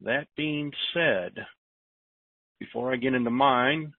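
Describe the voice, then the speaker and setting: A man hosting an informal conference call in a halting way, talking and reading aloud over the telephone. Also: mid-range voice, no echo. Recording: thin, narrow phone line.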